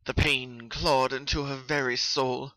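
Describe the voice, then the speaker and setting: A storyteller reads horror fiction with theatrical intensity. The voice is sharp and forceful, recorded dry without room sound. The delivery is scripted and dramatic. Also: medium pitch, flat delivery, measured pace, no echo, low-quality recording. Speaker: narrator.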